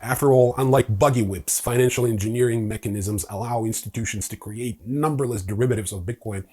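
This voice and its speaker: Man, medium pitch, husky, informal, sardonic, opinionated news host. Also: fairly quick, dark tone. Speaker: host of a crypto news show.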